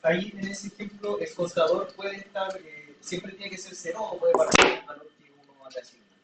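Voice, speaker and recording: A man's voice: high voice, fairly even intonation, a little fast, background noise. A man who is a student asking his teacher tentative questions in a classroom. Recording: distant voice in an echoey room.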